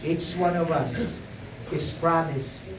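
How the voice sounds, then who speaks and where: A man's voice: a little high, slow pace, noisy background. A man preaching a sermon, hesitant with many fillers, then building to emphatic repeated phrases. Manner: hesitant with frequent fillers, rising to emphatic repetition. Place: church sanctuary. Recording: echoey room, voice from far off.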